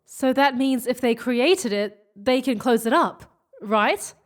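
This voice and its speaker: A woman's voice: on the high side, slow. An actress playing a worried young woman in an audio drama, tense and full of urgent questions. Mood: questioning. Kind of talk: scripted audio drama acting.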